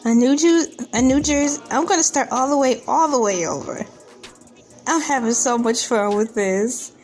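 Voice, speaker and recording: A woman's voice: high-pitched, normal speed, a little mellow, faint music behind. A playful, easily amused woman hosting an online video, reading a news article aloud and commenting on it casually. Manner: playful, giggly, easily amused by what she reads.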